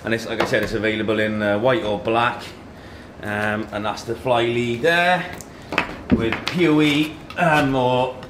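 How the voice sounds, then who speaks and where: A man in his thirties hosts a casual product unboxing with a mid-pitched voice. He talks in short phrases while handling things. The room is echoey, with clear noise and clicks behind him. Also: fairly even intonation, unhurried. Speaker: host of a tech unboxing video. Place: indoor office meeting room.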